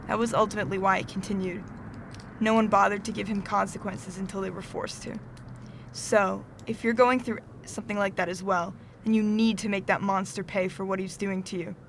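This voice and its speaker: A woman acting a composed, earnest middle-aged therapist in a student audio drama, speaking in a measured, professional way. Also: medium pitch, noisy background, lightly acted. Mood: grave, firm.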